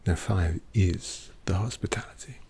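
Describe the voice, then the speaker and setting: A man voicing a refined, courteous vampire painter in a whispered ASMR roleplay, polite and quietly menacing. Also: low-pitched, normal speed, slight background noise. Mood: hushed. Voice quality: soft whispery delivery with gravelly creak.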